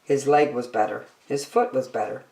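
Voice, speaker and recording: A woman reading a children's picture book aloud in an expressive, dramatic storytelling style, in an echoey room. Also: rumbling low, creaky. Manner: dramatic, with emphatic slowed phrases.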